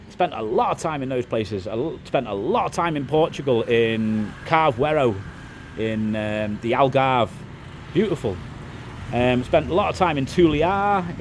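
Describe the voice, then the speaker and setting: Softened Scouse man rambling to camera. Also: on the high side, slight background noise. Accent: Liverpool, softened. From England. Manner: rambling, frequent restarts and "it is what it is". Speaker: vlogger, property developer. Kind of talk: casual monologue to camera.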